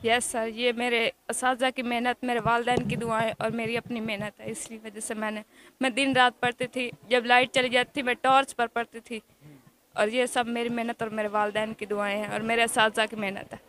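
Teenage girl student from Pakistan, bright tone, level delivery, earnest. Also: a little high, level tone, a little fast, slight background noise, low-quality recording. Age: teens. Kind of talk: answering interview questions.

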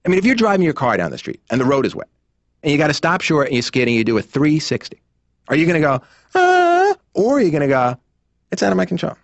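A man's voice: on the high side, huge pitch swings, fast talker, creaky, no echo, full character voice. A man in his thirties playing a neurotic, worried cartoon patient, delivering emphatic stand-up style monologue. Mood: anxious, incredulous. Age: 30s. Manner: emphatic, worried, mimics others. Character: neurotic thirty-nine-year-old therapy patient, anxious about aging. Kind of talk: stand-up monologue in an animated sitcom. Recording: dull, muffled cartoon audio.

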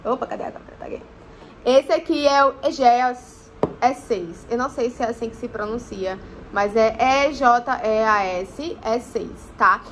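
A young woman talks casually to the camera from her living room, chatty and loose with her fillers. Her voice sits high. A faint hiss runs behind her. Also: moderate pace, a bit bright, rough recording. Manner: chatty, often says "gente", many fillers. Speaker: motorcycling vlogger.

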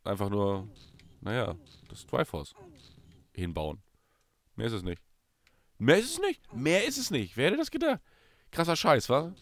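Animated German man, hoarse from a cold. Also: slight background noise. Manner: animated, swings from loud bursts to low mutters. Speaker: let's play host. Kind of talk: casual gameplay commentary.

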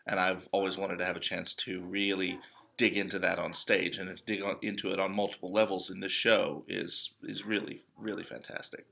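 A man in his thirties chatting casually as an interview guest, with thoughtful, measured phrasing. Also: low-pitched, little pitch movement, gravelly, sharp tone. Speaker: interview guest, stage actor. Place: indoors, small hall with cinder-block walls.

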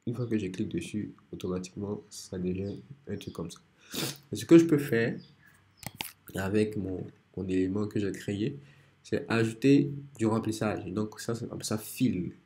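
Mellow-voiced man with a cold. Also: fairly low pitch, normal speed. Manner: relaxed, step-by-step explaining with many restarts. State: has a cold. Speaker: software tutorial presenter. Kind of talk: screen-recorded software tutorial.

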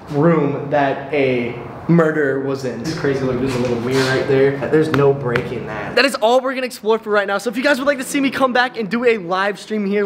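A young man hosting an outdoor vlog, talking excitedly and laughing as he pulls things out of the water and explores an abandoned building. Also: sits high. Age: young adult. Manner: excitable, laughing, exclaims at finds. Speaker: magnet fishing vlogger. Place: outdoors by a pond at an abandoned building, then inside it.

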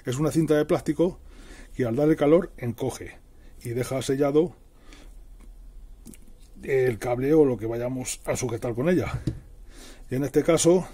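Male DIY presenter, middle-aged. Very mellow, slightly rough voice, informal step-by-step delivery. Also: medium pitch. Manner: plain, step-by-step explaining with everyday diminutives. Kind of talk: casual hands-on how-to narration. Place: indoors at a worktable.

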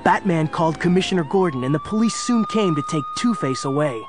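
A man acts as narrator of a superhero adventure. His voice sits a little high, and he tells the action dramatically over a clearly audible musical score. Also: lively intonation, a little fast, music in the background, lightly acted. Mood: dramatic.

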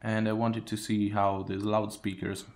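Low-pitched man with a creaky voice. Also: flat delivery, moderate pace, a little mellow. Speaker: tech hobbyist demonstrating a phone mod. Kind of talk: casual hands-on video commentary. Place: indoors, at a wooden table.

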